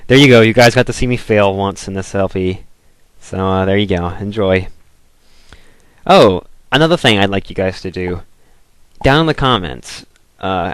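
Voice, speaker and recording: A man's voice: on the low side, smooth, no room sound. A young man from the southern United States giving casual, rambling commentary over a video game, full of "like" and restarts. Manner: rambling, filler-heavy, restarts, frequent "like".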